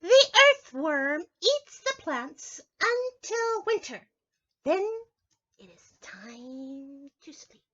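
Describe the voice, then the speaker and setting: A woman's voice: high voice, sing-song, extremely slow, a bit bright, lo-fi sound. A woman preschool teacher reads a picture book aloud to young children, expressively drawing out words and addressing them directly.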